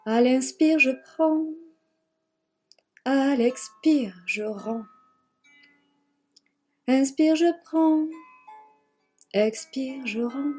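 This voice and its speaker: A woman leading a guided yoga nidra relaxation in a gentle, measured manner, leaving long pauses and repeating phrases. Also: high voice, soft background music. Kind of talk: guided meditation, slow spoken relaxation.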